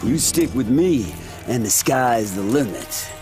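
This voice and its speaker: Pompous tycoon, slow and grandiose. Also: male voice, mid-range voice, animated, over music. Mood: boastful, rousing. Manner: pompous, grandiose, showy announcing. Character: boastful, egomaniacal male wasteland tycoon, slick showboater. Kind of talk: theatrical video game character acting.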